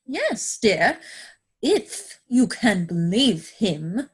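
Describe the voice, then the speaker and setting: A woman's voice: medium pitch, very animated, extremely slow, slightly rough, exaggerated voice. A woman playing a self-assured young society lady in a period stage comedy, speaking with affected, grand earnestness. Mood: grave, poised.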